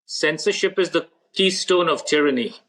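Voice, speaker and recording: A man answering as a podcast guest, measured and blunt, making short firm statements. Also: fairly high pitch, a bit bright. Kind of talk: interview answers.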